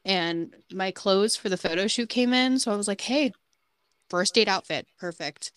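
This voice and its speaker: A woman's voice: mid-range voice, normal speed, no echo. Blunt woman in her twenties, very dry sound. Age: 20s. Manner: blunt, frank, heavy use of "like". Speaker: podcast co-host. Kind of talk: casual chat.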